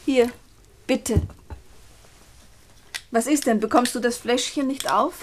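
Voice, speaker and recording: An actress playing a put-upon, resentful niece in a radio play, speaking with a curt, dryly pointed manner. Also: female voice, a little high, slow, slight background noise. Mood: restrained. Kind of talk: German radio play acting.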